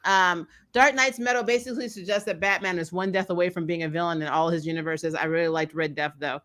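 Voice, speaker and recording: Bright-voiced woman in casual fan chat. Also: on the low side, fast talker. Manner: animated storyteller, sarcastic, mild swearing. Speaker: co-host of a comic book discussion stream. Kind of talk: casual fan chat, reading and answering viewer comments.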